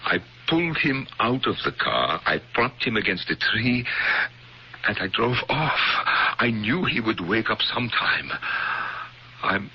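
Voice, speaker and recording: An actor playing a gentle, guilt-ridden husband, halting and hushed, in a 1970s radio mystery. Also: male voice, lowish voice, sing-song, husky, heavily acted. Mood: remorseful. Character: guilt-ridden, gentle husband confessing he could not kill. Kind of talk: 1970s radio drama acting. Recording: dull lo-fi old radio recording, faint noise.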